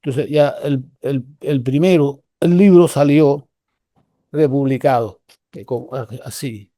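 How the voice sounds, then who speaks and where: An older man from Cuba with a Cuban Spanish accent, telling stories in a relaxed, rambling way with many restarts. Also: mid-range voice, slow pace, dry recording, clean studio sound. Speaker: guest researcher. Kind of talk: casual conversational storytelling.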